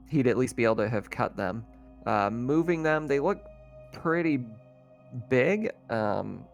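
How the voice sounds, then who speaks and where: A man acts as game master in a casual tabletop session. His voice is mid-pitched with noticeable fry, and he narrates off the cuff, conversationally, over faint music. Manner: casual, conversational, thinking out loud.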